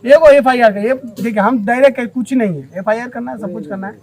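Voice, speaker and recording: High-pitched Bihari man, emphatic. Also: fast talker, a little mellow. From Bihar, India. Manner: emphatic, repeats phrases for stress. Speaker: local interviewee. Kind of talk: unscripted street interview.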